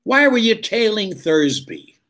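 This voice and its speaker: An actor playing a suspicious police lieutenant who questions bluntly and insistently, in 1940s radio drama style. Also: male voice, high-pitched, huge pitch swings, unhurried, a bit bright, exaggerated voice.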